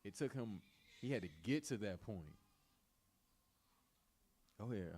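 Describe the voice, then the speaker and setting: A man's voice: fairly low pitch, lively intonation, unhurried, a little mellow, husky. A man leading an informal Bible study, teaching and retelling in colloquial, repetitive everyday speech.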